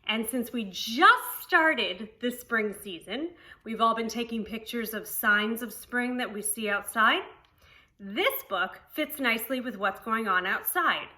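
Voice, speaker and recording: A woman's voice: a little high, pushed and bright. A woman preschool teacher reading a story aloud to young children, expressively, with simple explanatory asides.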